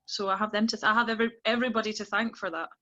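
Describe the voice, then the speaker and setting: A woman with a Scottish accent, a guest chatting casually and easygoingly, laughing softly at herself. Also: medium pitch, little pitch movement, rapid-fire, muffled recording. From Scotland. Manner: soft laughs, easygoing and self-deprecating. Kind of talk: casual interview chat.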